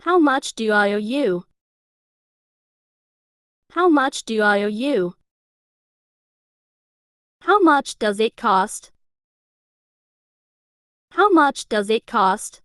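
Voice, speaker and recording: Slow, high-voiced woman teaching English. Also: expressive, dry recording, studio quality. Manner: reads each phrase twice slowly. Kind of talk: scripted lesson reading.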